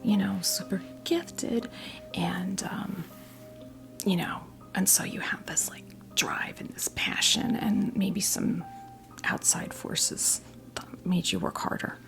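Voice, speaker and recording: Slow whispering American woman in her fifties. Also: medium pitch, soft background music. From New Jersey, US. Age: 50s. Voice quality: whispered, breathy soft voice. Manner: slow, halting, gently reassuring. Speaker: ASMR performer playing a tarot reader. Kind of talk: ASMR role play, tarot reading.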